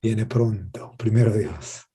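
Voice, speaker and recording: A man, answering in an interview in a gracious, halting way with many pauses. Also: fairly low pitch, warm tone, husky. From Guatemala. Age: 60s. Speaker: interview guest, pastor and worship musician.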